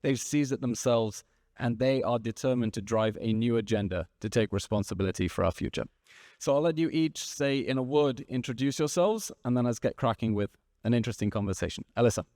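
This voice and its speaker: A man in his thirties addresses a conference audience from the stage. He speaks as a moderator in rousing, repetitive rhetorical phrases. His voice sits in a middle pitch and the sound is dry and close. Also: a little fast, darkish tone, no room sound. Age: 30s. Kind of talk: stage speech and panel moderation.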